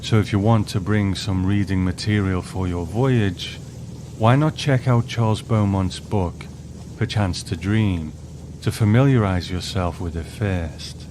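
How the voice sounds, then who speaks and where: A man's voice: low-pitched, a little slow, background noise. A man hosting a show with scripted narration, introducing and discussing a story. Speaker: host.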